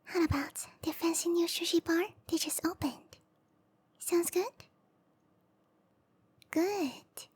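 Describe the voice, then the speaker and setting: High, whispering seductive matchmaker played by a woman. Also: fairly even intonation, slow pace, long pauses, darkish tone. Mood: coaxing. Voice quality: breathy whisper. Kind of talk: whispered ASMR roleplay.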